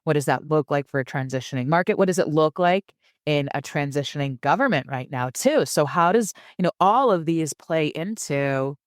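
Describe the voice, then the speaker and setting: Enthusiastic woman, smooth dry voice. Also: deep voice, animated, moderate pace, no echo. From San Francisco and Germany. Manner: enthusiastic, often repeats words as she starts a phrase. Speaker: podcast guest. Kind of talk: casual interview talk.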